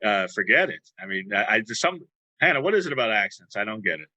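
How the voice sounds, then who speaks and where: A man's voice: on the low side, big pitch swings, fast talker, bright, forceful tone, no room sound. A man hosting a casual interview, rambling and teasing, often repeating words. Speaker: host.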